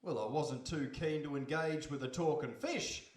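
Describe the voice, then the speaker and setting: Man as a high-voiced bemused fisherman, roomy. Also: moderate pace, close to an everyday voice. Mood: exasperated. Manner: bemused, grumbling, incredulous. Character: poor, sceptical, easily exasperated married fisherman. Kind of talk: comic audio story acting. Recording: roomy echo, faint noise.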